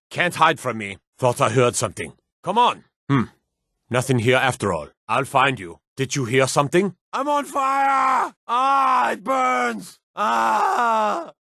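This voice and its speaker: An actor playing a gruff, aggressive male guard and soldier in a fantasy video game, rough-voiced, blunt and theatrical in delivery. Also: on the high side, sharp tone, no room sound, clean studio sound, exaggerated voice. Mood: wary, searching, then panicked, pleading. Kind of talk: video game combat barks and warnings.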